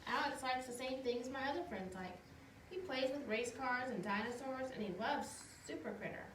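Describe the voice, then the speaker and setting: Woman reading kids books out loud, high voice, kinda far from the mic, windy and noisy outside. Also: moderate pace. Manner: simple expressive storytelling for young children. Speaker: storyteller reading children's books aloud. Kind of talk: read-aloud of children's picture books with casual asides. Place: outdoors in the wind, with animals. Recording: echoey, distant, noisy windy outdoor recording.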